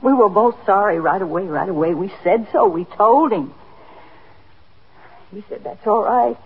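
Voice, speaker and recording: An actress playing a worried, remorseful middle-aged housewife and daughter in a 1950s radio drama, speaking earnestly and hesitantly. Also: female voice, mid-range voice, expressive, moderate pace, close to an everyday voice. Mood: regretful, worried. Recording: dull, noisy 1950s radio recording.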